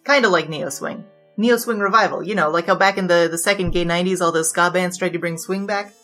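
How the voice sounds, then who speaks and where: Brash, glib noir bandit queen, played by a woman, lowish forceful voice, comic audio drama with music underneath. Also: fairly quick, lightly acted. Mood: glib, argumentative. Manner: glib, digressive, argumentative. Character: brash, chatty, flirtatious female bandit king confessing her crimes.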